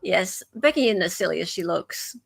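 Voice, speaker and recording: A woman's voice: on the low side, animated, moderate pace, vocal fry. A woman with an Australian accent hosting a casual livestream discussion, thinking aloud as she goes. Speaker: host.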